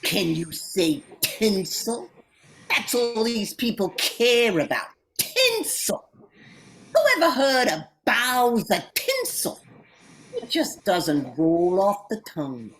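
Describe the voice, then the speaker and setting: A woman's voice: mid-range voice, big pitch swings, takes it slowly, a little hiss or hum. A woman playing a grumbling, slangy old sprig of Christmas holly in a radio play, wisecracking and drawling.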